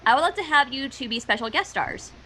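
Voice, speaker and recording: A woman's voice: high-pitched, expressive, quick pace, sharp tone, a little hiss or hum. A woman chatting casually on an online voice call in an eager, tentative way. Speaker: amateur voice actor, livestream guest. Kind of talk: casual chat.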